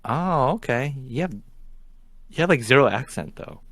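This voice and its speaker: Animated man co-hosting a podcast. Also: medium pitch, unhurried, gravelly, dry recording. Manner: teasing, lively interviewer. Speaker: podcast co-host. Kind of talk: casual chat.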